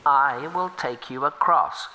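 Man doing a big humble turtle, soft and a bit high, earnest and polite, storybook voice. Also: slow pace.

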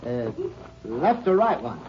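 An American actor playing a shifty, nervous outlaw with a jittery, blustering manner in a 1950s western radio drama. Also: male voice, medium pitch, sing-song, slow. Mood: falsely jovial. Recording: muffled noisy 1950s radio recording.

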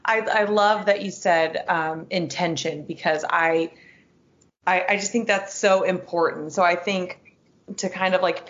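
A woman hosting a podcast, a little hoarse, chatting casually with lots of "like" and restarts. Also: low-pitched, fairly bright tone, echoey room, lo-fi sound. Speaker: podcast host. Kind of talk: casual interview chat and solo outro.

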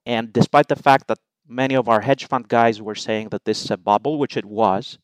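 A middle-aged man from Greece, a guest in an interview, explaining his views in measured, numbered points. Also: mid-range voice, clean tone, no echo. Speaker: investment allocator, guest. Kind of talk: interview answers.

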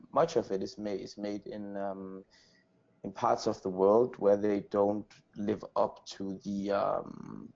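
A man's voice: lowish voice, dark tone, muffled recording. A young Danish man with a Danish accent answering casually as an interview guest, repeating words as he searches for phrasing. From Denmark. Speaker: interview guest, company founder.

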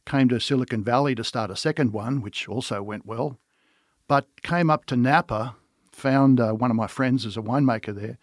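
A man with an Australian accent, a winemaker guest on a podcast, telling his story in a relaxed way with some restarts. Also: mid-range voice, no room sound, studio quality. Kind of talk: casual podcast interview.

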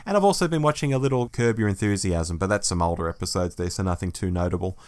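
A man's voice: deep voice, fast, a little mellow. A man co-hosting a fan podcast, talking casually with opinionated, emphatic phrasing. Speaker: podcast co-host.

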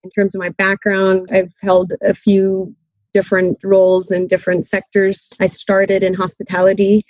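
A woman's voice: medium pitch, completely flat delivery, normal speed, a little mellow, no echo, muffled recording. A woman who grew up in Malaysia and Canada, speaking as an interview guest, articulate but a little rambling with restarts. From Malaysia, raised in Canada. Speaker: guest, sustainability consultant. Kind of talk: conversational interview.

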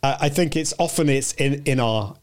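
A man's voice: medium pitch, moderate pace. A man hosting a live show in a casual interview, encouraging and supportive, often stumbling over his words. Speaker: host of a live video show.

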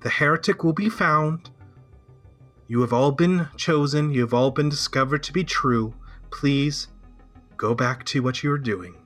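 A man playing a lofty high-voiced noblewoman. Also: unhurried, a bit bright, faint music behind. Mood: soothing. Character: haughty noblewoman preaching calm to a crowd.

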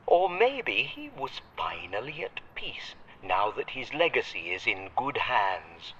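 A man's voice: medium pitch, very animated, pushed and bright, highs cut off, a little hiss or hum, exaggerated voice. An actor playing a devoted, fatherly old butler in an audio drama, formally polite and sentimental, heard through a radio filter. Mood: formal, solemn. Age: older. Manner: formal, measured, deferential yet tender.

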